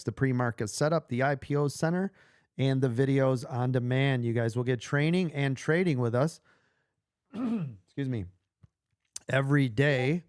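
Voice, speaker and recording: Hoarse-voiced man pitching memberships live. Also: no echo, studio quality. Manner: upbeat, chatty, addresses viewers as "you guys". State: losing his voice. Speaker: livestream host and trading coach. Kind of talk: casual livestream sales pitch.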